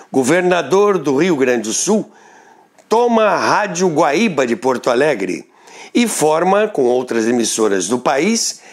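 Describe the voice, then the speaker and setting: Male documentary narrator, storytelling delivery, raised pitch, very animated intonation. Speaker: radio history narrator.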